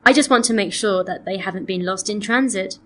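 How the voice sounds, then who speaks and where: A woman acting as a polite female customer with a mid-range voice. She enunciates each detail in an orderly, measured manner, as in a scripted listening exercise. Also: medium pitch, lightly acted. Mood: polite, matter-of-fact. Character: polite woman customer phoning to report missing, damaged antiques. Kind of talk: scripted listening-test dialogue.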